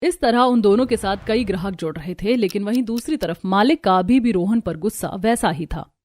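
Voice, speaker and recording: A woman tells a scripted story as narrator. Her voice sits at a medium pitch for a female reader. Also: brisk, a little mellow.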